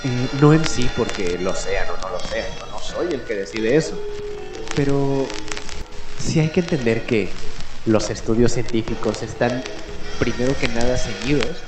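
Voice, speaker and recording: A man hosting a late-night radio show, delivering a reflective, explanatory monologue with deliberate pauses in an announcer persona. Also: medium pitch. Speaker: host of a late-night mystery radio program. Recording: roomy echo with music behind. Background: music.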